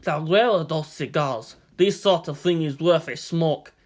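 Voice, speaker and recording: A man's voice: a little high, measured pace, lightly acted. A man acting out a blustering, commanding Soviet leader. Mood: gruff, impatient. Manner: emphatic, blustering, grand. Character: boisterous, commanding Soviet Premier, adult man.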